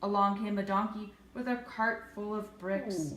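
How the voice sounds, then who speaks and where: A woman reading a picture book aloud to children in an expressive, sing-song manner. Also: mid-range voice, unhurried. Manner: expressive, sing-song, with questions to young listeners. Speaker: storyteller. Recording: echoey room with faint noise.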